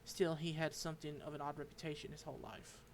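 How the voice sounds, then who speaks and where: High-voiced man, halting game playthrough commentary. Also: little pitch movement, a little hiss or hum, poor audio. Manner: halting, stumbles over unfamiliar names. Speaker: game streamer. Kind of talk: playthrough commentary, reading game dialogue aloud.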